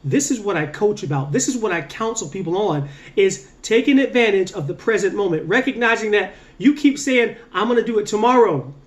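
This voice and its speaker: A man with a fairly high voice gives a casual motivational talk as a mindset coach. He is earnest and emphatic, lifting his voice on key points and drawing out the ends of phrases to drive them home. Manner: earnest, repetitive, emphatic with drawn-out slow endings; energetic, rising on key points.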